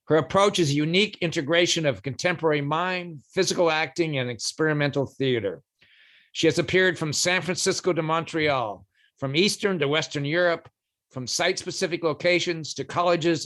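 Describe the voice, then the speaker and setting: A man hosting a podcast, giving a playful, measured introduction of his guest. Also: a little high, fairly bright tone. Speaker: podcast host.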